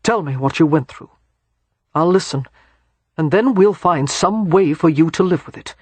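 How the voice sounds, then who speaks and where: A man's voice: on the high side, moderate pace, breathy edge, dry recording. A man voicing a steely, protective male war hero who speaks in a firm, clipped way. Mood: gentle.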